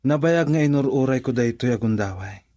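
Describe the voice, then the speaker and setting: A man's voice: mid-range voice, expressive, brisk, dark tone, dry recording, studio quality, lightly acted. A man acting a middle-aged family friend, a tender and patient suitor in a radio drama, with a Filipino accent. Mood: earnest, confessing.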